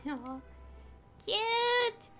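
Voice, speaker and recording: Woman streamer, casual and excitable, bright and very animated, faint music under her. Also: extremely high pitch, extremely slow. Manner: excitable, rambling to viewers. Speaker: game streamer. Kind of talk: casual live game stream chat.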